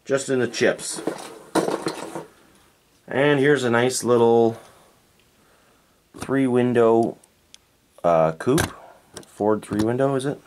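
A man from Canada reviewing toy cars in a relaxed, unhurried way, thinking aloud and correcting himself as he goes. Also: medium pitch, background noise. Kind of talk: unscripted hands-on toy review. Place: indoors at a tabletop diorama.

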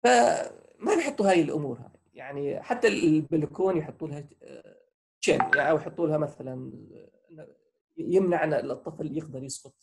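Gravelly fast-talking man. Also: on the high side, animated, a little hiss or hum. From Syria. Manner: conversational, personal examples, frequent fillers. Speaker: safety engineer lecturer. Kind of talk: online lecture, answering questions.

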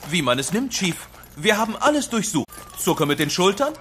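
A man's voice: sits high, sing-song, fairly quick, a bit bright. Officious swat cop for kids radio play, narrates his own moves, very up and down, some background noise. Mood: puzzled, deadpan. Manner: clipped, telegraphic, narrating own movements. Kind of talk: children's radio play acting.

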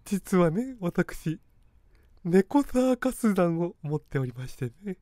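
A man's voice: high voice, very animated, takes it slowly, mellow, no echo, studio quality, pitched above the performer's own voice, exaggerated voice. A man voicing a haughty, miserly middle-aged woman who leads a cat circus, genteel and easily offended, in a comic radio drama. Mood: pompous. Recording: noisy background ambience.

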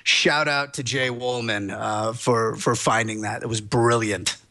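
A man in his forties, a guest lawyer talking on a video call through a headset mic, rambling with restarts and excited bursts. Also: mid-range voice, unhurried. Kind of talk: animated video call chat. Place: small room at home.

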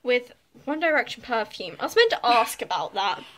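A young British woman with a fairly high voice gives a chatty, teasing house tour. She talks casually while carrying a phone from room to room, with faint noise on the recording. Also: big pitch swings, moderate pace, fairly bright tone. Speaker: vlogger giving a house tour. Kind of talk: casual handheld vlog chat with sibling. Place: indoors, rooms of a holiday house. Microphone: handheld phone or camera. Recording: noisy handheld phone recording.

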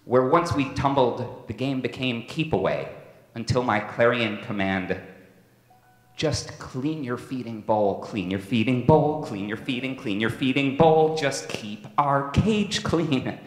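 A man playing a tender, proud, aging father rodent in a deliberate, solemn, theatrical dramatic poetry reading. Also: mid-range voice, animated, lightly acted. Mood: nagging, commanding. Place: stage in a large hall with audience. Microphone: microphone on a stand. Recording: echoey hall, stage microphone.

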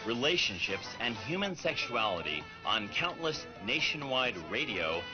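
A man reading a scripted promotional voice-over in a smooth announcer style. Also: medium pitch, sing-song, normal speed. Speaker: promo narrator. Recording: dull lo-fi sound with music behind.

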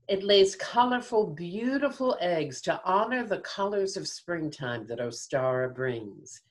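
An older woman tells a children's story in a slightly low voice. Her pitch swings widely and her delivery is very unhurried. She is on a video call from home. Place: home room, indoors. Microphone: video call computer mic.

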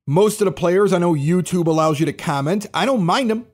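A man's voice: sits high, fairly quick. A man hosting a sports podcast, ranting in a fired-up, defensive, emphatic way. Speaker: podcast host. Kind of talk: heated podcast rant.

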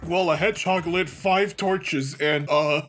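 A man's voice: a little high, measured pace, fairly bright tone, faint music behind. A man giving casual, sarcastic commentary as a co-commentator on a video game let's play.

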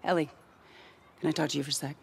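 A woman acting a middle-aged American town leader in a video game, gently insistent and caring. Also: sits low, fairly even intonation, fast talker, gravelly, slight background noise. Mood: polite.

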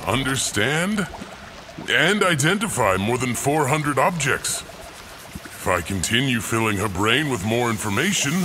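An actor playing a doting, hopeful scientist father in an audio drama, measured and earnest. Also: medium pitch, big pitch swings, pushed and bright, a little hiss or hum. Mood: proud, explaining. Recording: music under the voice.

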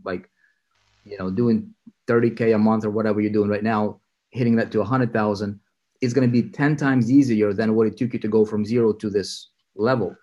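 A man hosting a video call interview, energetic and enthusiastic, rambling with frequent restarts. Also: on the low side, highs cut off. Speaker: interviewer, mentor. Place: indoor room with city view window.

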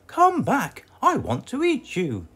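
British man as a shrill little old woman. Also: huge pitch swings, takes it slowly, fairly bright tone, husky, full character voice. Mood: frantic. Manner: shrill, calling out. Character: frantic little old woman, shouting after runaway. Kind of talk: storybook read-aloud character.

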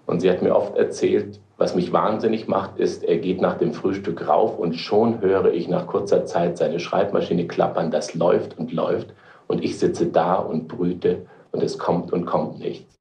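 A man's voice: deep voice, fairly even intonation, measured pace, creaky, darkish tone, roomy sound. A man reminiscing in interview style, searching for his words, in an archive recording. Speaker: literary editor reminiscing.

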